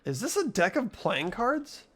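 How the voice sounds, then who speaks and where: A person reading visual novel narration and dialogue expressively, voicing an earnest, anxious high school student. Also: sing-song, a little slow, fairly bright tone, husky, faint music behind. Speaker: player reading a video game's lead role aloud.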